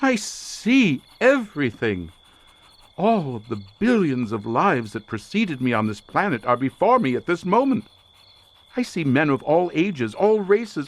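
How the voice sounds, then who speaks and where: An actor playing an obsessed researcher lost in a drugged visionary trance, speaking theatrically and haltingly in an audio horror drama. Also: male voice, a little high. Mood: ecstatic wonder. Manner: theatrical, incantatory, halting with repeated words.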